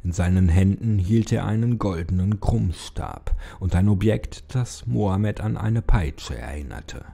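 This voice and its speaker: A man's voice: fairly low pitch, unhurried, vocal fry, few pauses, mellow, breathy edge. A man narrating a scripted horror story in a dramatic audiobook style. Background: background music.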